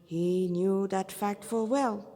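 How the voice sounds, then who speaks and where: A woman's voice: medium pitch, steady tone, slow, dark tone, long reverb tail, soft background music. A woman with a non-native English accent, an amateur narrator reading a story dramatically and sometimes stumbling over words. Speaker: amateur fan fiction narrator.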